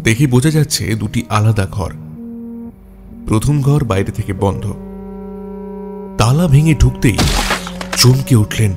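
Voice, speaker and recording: A man narrating a scripted detective story in a measured way, with dramatic pauses. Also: lowish voice. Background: background music.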